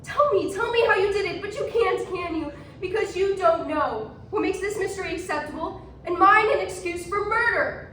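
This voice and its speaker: Very high female voice, actress as defiant accused woman, emphatic challenges, stage drama, distant echoey recording. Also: a bit bright. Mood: pressing, impassioned. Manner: emphatic, challenging, deliberate rhetorical questioning. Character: defiant accused woman turning a witch trial on her judges. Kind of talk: competitive stage readers theatre. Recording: echoey room, distant mic, noisy.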